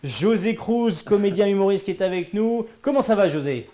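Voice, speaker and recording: A man's voice: high voice, animated, moderate pace, dark tone. A man hosting an online show, enthusiastically interviewing a guest over a video call. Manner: enthusiastic, exclamatory. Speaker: show host. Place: indoor studio.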